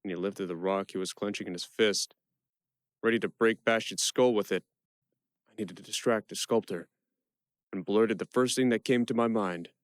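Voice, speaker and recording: A slightly low male voice, acted by a man, telling a prisoner's story in dramatic first person. The sound is dry and close, the figure quick-witted and improvising. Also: no room sound, close to an everyday voice. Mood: reflective. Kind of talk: first-person dramatic narration for an audio play.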